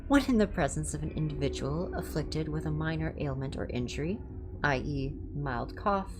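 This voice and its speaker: A woman's voice: low voice, expressive, moderate pace, music in the background. A woman hosting a reading livestream, moving between scripted narration and casual chat, with polite, careful, formal diction. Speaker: livestream host reading horror fiction aloud.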